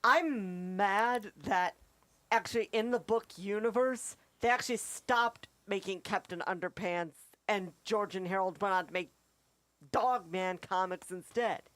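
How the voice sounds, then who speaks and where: A woman talks casually as a talk show co-host. Her voice sits at an ordinary middle pitch. The recording is dry, with no room around her. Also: measured pace, fairly bright tone, no room sound. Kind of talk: casual chat.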